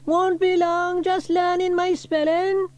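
Guy voicing a grumbly little schoolboy, mischievous, high voice, kids story. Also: level tone, slow pace, a little mellow. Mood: offhand. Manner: mumbling, grumbling. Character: reluctant, mischievous young schoolboy.

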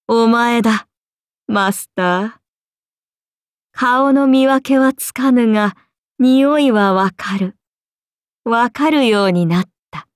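A woman's voice: medium pitch, no room sound, studio quality. A woman voicing a haughty, amused and predatory ancient serpent-god oni in a game, speaking in archaic, imperious, languid phrases. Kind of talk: Japanese game character voice lines.

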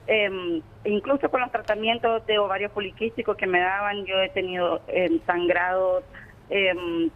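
A woman in her thirties with a Nicaraguan accent, calling into a TV show and hesitating with many ums. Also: medium pitch. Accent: Nicaraguan Spanish. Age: 30s. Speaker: caller. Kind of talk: phone-in question. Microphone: phone on speaker. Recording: tinny phone line.

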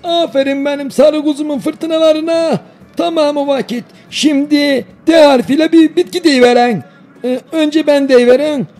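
A man's voice: very high voice, steady tone, normal speed, darkish tone, soft background music. A man voicing an affectionate, playful old village grandfather in a cartoon, with folksy, doting talk in a Denizli Aegean Turkish accent. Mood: playful, encouraging. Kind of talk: cartoon voice acting.

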